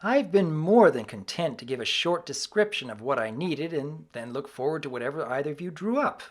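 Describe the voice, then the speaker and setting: A guest commentator giving scripted review commentary in formal, measured wording. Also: medium pitch, lively intonation.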